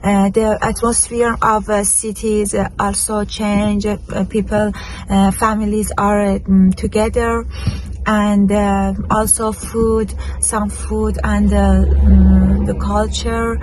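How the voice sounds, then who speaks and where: Halting Persian-accented woman vlogger, noisy background. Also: mid-range voice, little pitch movement, a little mellow. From Iran. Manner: halting, hesitant English with frequent word searching. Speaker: travel and lifestyle vlogger. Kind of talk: casual vlog talk to camera.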